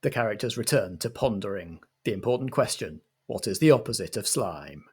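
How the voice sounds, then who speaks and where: A man's voice: fairly low pitch, animated, a little fast, a little mellow, slightly rough. A man narrating an improvised comedy story as its director, in a dramatic storytelling style.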